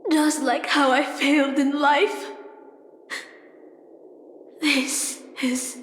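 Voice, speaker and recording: A slow, sorrowful songstress spirit. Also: female voice, high-pitched, little pitch movement, slight background noise. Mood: weary, bitter. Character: sorrowful fallen songstress spirit, weary and self-condemning. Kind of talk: dramatic game voice acting. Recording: echoey hall reverb, music behind.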